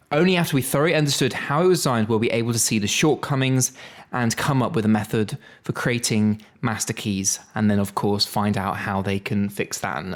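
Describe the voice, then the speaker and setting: A man presenting a tech podcast as host, chatty and upbeat in a semi-scripted style. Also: on the low side.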